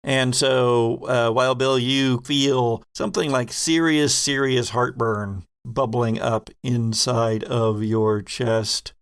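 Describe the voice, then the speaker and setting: A man's voice: mid-range voice, steady tone, slow, few pauses, clear, resonant tone, no room sound, clean studio sound. A man narrating a horror sci-fi tabletop game as game master, with wry, vivid description.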